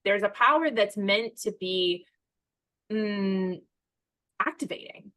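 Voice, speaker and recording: A woman hosting a conversational podcast at a middle pitch, recorded close and dry with no room echo. She talks reflectively and often thinks aloud mid-sentence. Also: takes it slowly, fairly bright tone. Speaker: podcast host.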